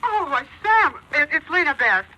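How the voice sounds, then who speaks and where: An actress playing an anxious, flustered widow in 1940s radio melodrama, hesitant and pleading. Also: female voice, high-pitched, sing-song, normal speed, pushed and bright, slight background noise, heavily acted, rough recording. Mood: frantic. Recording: vintage 1940s radio recording.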